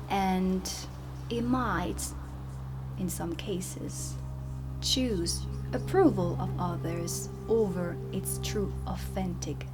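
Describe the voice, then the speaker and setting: A woman playing a strict dark witch who teaches at an academy, speaking softly and deliberately in an ASMR roleplay. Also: fairly low pitch. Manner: slow, deliberate, instructive. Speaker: ASMR performer. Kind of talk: soft-spoken ASMR roleplay lesson.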